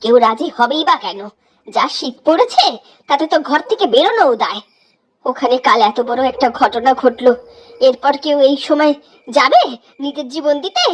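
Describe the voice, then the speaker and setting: A woman's voice: high-pitched, expressive, normal speed. A woman playing a weary, complaining, superstitious village housewife in a horror cartoon, speaking plaintively in a rustic way over music. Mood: resigned, weary.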